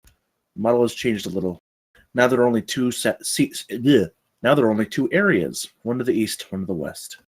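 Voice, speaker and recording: A man's voice: medium pitch, animated, moderate pace, breathy edge. A man reading screenplay narration and stage directions aloud at a table read.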